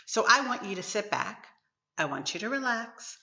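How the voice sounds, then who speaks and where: A middle-aged woman from the Caribbean hosting a podcast interview, speaking in a gentle, measured way. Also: mid-range voice, sing-song, gravelly, muffled recording. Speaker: podcast host.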